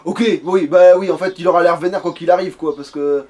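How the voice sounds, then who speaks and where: A man's voice: sits high, steady tone, brisk, a little mellow. A man in casual gaming chat, thinking aloud in a rambling way as a let's player.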